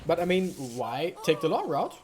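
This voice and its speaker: A man's voice: on the high side, sing-song, moderate pace, a little mellow, slight background noise. A man hosting a let's play with casual, rambling commentary, thinking aloud as he plays. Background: faint video game music.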